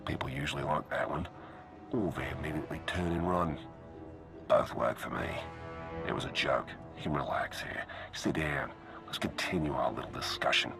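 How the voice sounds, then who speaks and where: Casting: a self-amused, gruff underworld dealer for a game, rock-bottom creaky voice, dry wit, music behind. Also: male voice, extremely low pitch, fairly even intonation, moderate pace, long pauses. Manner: wry, dry-humoured, drawling menace. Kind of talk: video game cutscene acting.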